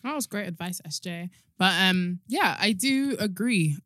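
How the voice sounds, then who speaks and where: A woman's voice: mid-range voice, expressive, a little slow, a bit bright, no room sound, clean studio sound. A young woman, hosting a casual podcast chat in a playful, teasing way. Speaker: podcast host.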